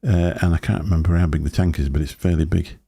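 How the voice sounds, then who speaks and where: A man's voice: fairly even intonation, quick pace, gravelly, dark tone, husky. A man, hosting a casual live stream and rambling from topic to topic into a desk microphone. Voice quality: deep booming voice. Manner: rambling, chatty, chuckling to himself. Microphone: desk microphone through a mixer.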